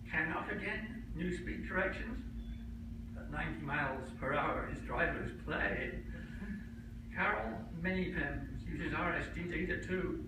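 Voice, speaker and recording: Echoey, high-voiced man reciting verse slowly. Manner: measured, pausing between short verse lines. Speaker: academic researcher reading his own poem. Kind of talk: reciting a humorous rhyming poem at a conference. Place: conference room, recorded from a distance. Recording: echoey hall from far off, noisy.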